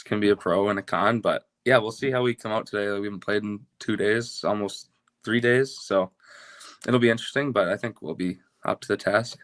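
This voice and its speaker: Lowish-voiced young man, baseball coach. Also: a bit bright, no echo. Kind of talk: casual interview chat.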